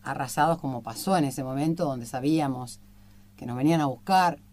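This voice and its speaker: A woman's voice: very deep voice, a little fast, a little mellow, a little hiss or hum. A woman with an Argentine Rioplatense accent hosting a conversational interview, effusive and rambling in long-winded questions. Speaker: radio show host and interviewer.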